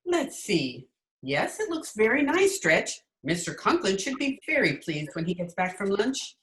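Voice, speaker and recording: A woman playing a dry, sardonic, quick-witted schoolteacher in old-time radio comedy style. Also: medium pitch, sing-song, fairly bright tone. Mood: surprised, concerned. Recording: noisy remote video call with clicks.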